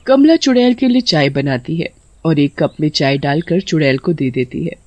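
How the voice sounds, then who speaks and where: A woman's voice: low voice, expressive, normal speed, darkish tone, no echo, lo-fi sound. A woman narrating a scripted story as its storyteller.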